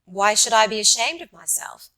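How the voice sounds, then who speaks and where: Woman narrator as an uneasy educated irish gent, polite, hesitant, bit defensive, bright crisp-edged voice, audiobook. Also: on the high side, expressive, normal speed, rough recording. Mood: puzzled. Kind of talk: audiobook character dialogue.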